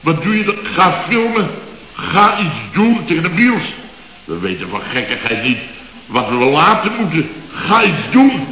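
An actor playing a grumbling, exasperated middle-aged contractor who rants sarcastically in clipped half-sentences in a radio comedy. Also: male voice, big pitch swings, measured pace, pushed and bright, roomy sound, big-room sound, full character voice. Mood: indignant. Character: grumbling, exasperated male building contractor, long-winded storyteller. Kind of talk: 1970s radio comedy acting. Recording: boomy, muffled old radio recording.

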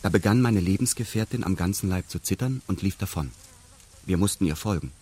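An actor playing a detached male narrator in a radio drama, speaking in a formal, meticulous, deadpan manner. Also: deep voice, fairly quick, slight background noise, close to an everyday voice. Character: detached male narrator recounting a weekend with pedantic precision. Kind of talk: scripted first-person radio drama narration.

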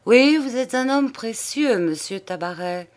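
A woman's voice: a little high, lively intonation, measured pace, pitched above the performer's own voice. A woman reading an audiobook in the part of a thoughtful, courteous male magistrate, speaking formally and with measure. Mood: pensive. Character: grave, pensive, courteous male examining magistrate. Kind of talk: audiobook character dialogue.

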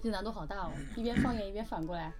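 A woman's voice: medium pitch, racing, crowd noise. A woman hosting a casual group game, chatting and giving directions. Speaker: host directing a voice-acting game.

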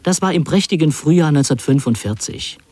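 A man playing a wry, reflective narrator recalling his wartime youth in literary radio drama, measured and lyrical. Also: a little high, expressive, darkish tone. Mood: vivid, lyrical.

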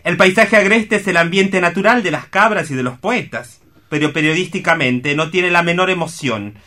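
A man's voice: sits high, moderate pace, sharp tone. An actor playing a witty, cynical urban newspaperman in a radio play, declamatory and ironic. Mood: wry.

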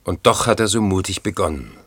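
A sober male questioner comments and probes in a literary radio play. His voice is low, his manner measured and inquiring. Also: lively intonation, a bit bright, slightly rough, full character voice. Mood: probing.

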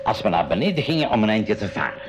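A man's voice: on the low side, big pitch swings, fast talker, dull audio. A man playing a kindly, adventurous professor in a school radio play, given to mutters and hearty chuckles. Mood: jovial. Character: kindly adventurous male professor, inventor of a flying submarine. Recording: faintly noisy 1980s radio drama recording.

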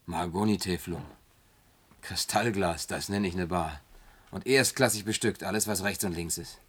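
A man's voice: low voice, moderate pace, a bit bright, slight background noise. An actor in a German radio play, playing a wry, good-natured man who grumbles in a dry, sceptical way. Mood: impressed, playful.

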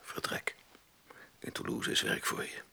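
An actor in a radio drama playing a stern, proud older aristocratic father, gravelly and commanding in short clipped sentences. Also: a little slow, sharp tone, in a whisper. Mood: stricken, hushed. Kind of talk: Dutch radio drama acting.

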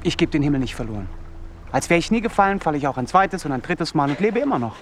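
Searching, higher-voiced young outcast. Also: male voice, big pitch swings, fast, faint music behind. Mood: defiant. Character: searching young male outcast arguing with God, vulnerable, brooding. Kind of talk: naturalistic radio drama acting.

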